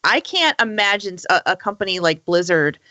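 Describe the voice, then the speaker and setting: A woman's voice: mid-range voice, sing-song, brisk, bright, forceful tone, dry recording, highs cut off. A woman on a podcast panel giving her opinions in an emphatic, conversational way. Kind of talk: casual opinionated discussion.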